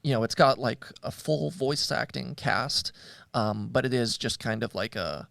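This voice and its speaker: A man's voice: mid-range voice, measured pace, gravelly, a bit bright, no room sound. A man in a casual discussion as a show host, speaking hesitantly and searching for his words. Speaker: podcast host. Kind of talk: casual discussion of audio dramas.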